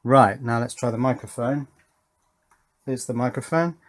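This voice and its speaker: A man's voice: medium pitch, unhurried. Man thinking aloud at the workbench. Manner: thinking aloud while working, talking to himself. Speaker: electronics hobbyist presenter. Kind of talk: casual hands-on demonstration commentary.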